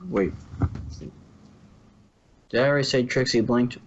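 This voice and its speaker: A man's voice: medium pitch, unhurried. A man narrating fan fiction informally, stumbling over words now and then, on a muffled home recording.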